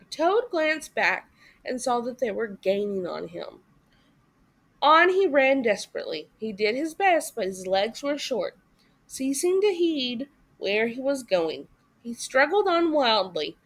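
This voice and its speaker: A woman reading a story aloud as expressive narration for children. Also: fairly high pitch. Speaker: story reader.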